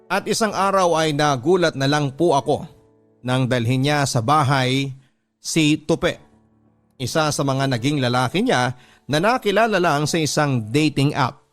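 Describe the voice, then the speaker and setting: A man with a Filipino accent narrating a radio drama letter in the first person as a heartbroken, long-suffering wife, earnest and measured. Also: on the high side, natural voice. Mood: resigned, hurt. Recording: music bed under narration. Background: background music.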